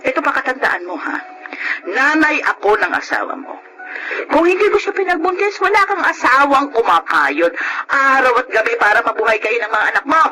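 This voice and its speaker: A sharp-voiced, domineering Filipino mother-in-law in a radio drama. She scolds with sarcasm and wounded pride, her pitch swinging widely. There is faint noise in the recording. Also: big pitch swings, moderate pace, exaggerated voice, poor audio. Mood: indignant scolding. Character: domineering, indignant mother-in-law, proud and guilt-tripping.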